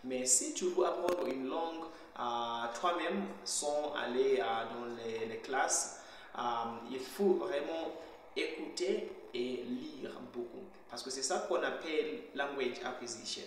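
Young man, native shona speaker, hesitant pauses, very echoey bare room, faint hum. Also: medium pitch. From Zimbabwe. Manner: hesitant, searching for words with frequent pauses. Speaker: language learner vlogger. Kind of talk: casual talk to camera. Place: bare indoor kitchen.

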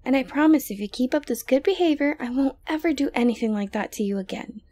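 A woman playing an obsessive, sweet-talking yandere girlfriend in an intimate ASMR audio roleplay, coaxing softly with breaths and near-whispers. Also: sits high, expressive, fast. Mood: remorseful, creepy. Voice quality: soft, breathy, often dropping to a whisper. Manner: coaxing, cooing, falsely gentle, hesitant.

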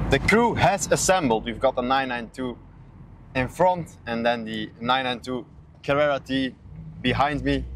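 A man, chatting casually as a car vlogger while driving. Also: mid-range voice. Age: 20s. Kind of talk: casual vlog chat. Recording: clear noise, car and road sound.

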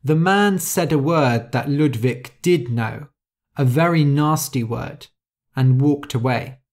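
A podcast host reads an easy story for learners at a very unhurried pace, leaving room after each phrase. The voice sits at an ordinary middle pitch and stays clear and careful. New words get explained, and some are spelled out letter by letter. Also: darkish tone. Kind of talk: slow, clear story reading for English learners.

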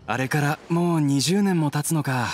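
A man's voice: fairly high pitch, normal speed, breathy edge. A man voicing a downcast, troubled and polite man in an anime game, speaking in a subdued, regretful way. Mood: wistful, dejected.

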